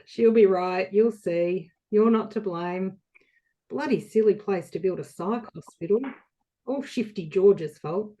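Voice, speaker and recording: A woman's voice: medium pitch, unhurried, warm tone, natural voice, rough recording. A woman playing a chatty, unbothered receptionist in a play reading, colloquial and matter-of-fact. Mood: reassuring. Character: chatty, casual, unbothered Australian female receptionist.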